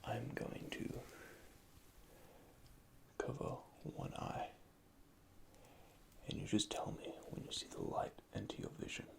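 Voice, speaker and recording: A man whispering softly and methodically as he plays a repair technician in an ASMR roleplay, recorded close to the microphone. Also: mid-range voice, slow pace, vocal fry, pauses often. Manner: soft, calm, methodical, speaks in technical jargon. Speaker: ASMR creator playing an android repair technician.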